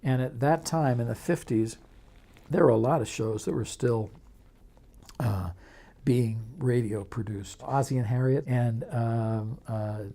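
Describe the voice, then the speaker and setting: Old guy in his 70s telling memories, soft dark tone, medium pitch, interview. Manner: reminiscing, unhurried storytelling. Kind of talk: anecdotal documentary interview.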